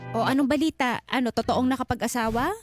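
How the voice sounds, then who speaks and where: A woman co-hosting a casual radio advice chat, reacting with animated exclamations. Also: on the high side, fast. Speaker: radio co-host. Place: radio studio. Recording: music bed behind voice.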